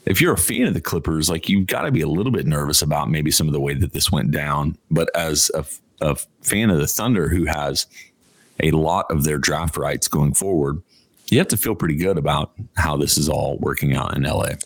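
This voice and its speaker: Male sports podcast co-host, extremely low voice, casual banter, dry sound. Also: a little fast, no echo.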